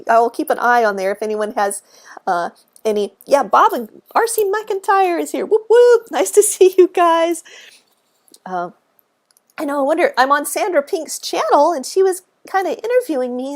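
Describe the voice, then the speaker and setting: A woman with a high voice, exuberant and chatty, explaining cemetery history as a guest on a live stream. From Texas.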